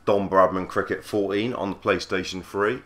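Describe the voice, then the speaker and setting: A man with a cold, husky and croaky, giving easygoing play-by-play commentary on a cricket video game. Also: deep voice, fairly even intonation, moderate pace, fairly bright tone. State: hoarse from a cold. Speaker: gaming channel host.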